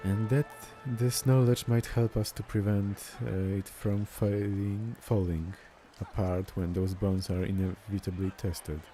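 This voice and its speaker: A man's voice: fairly low pitch, steady tone, measured pace, hardly any pauses, mellow. A man reading game dialogue aloud as a streamer, sometimes stumbling over words and repeating them, with game music behind him.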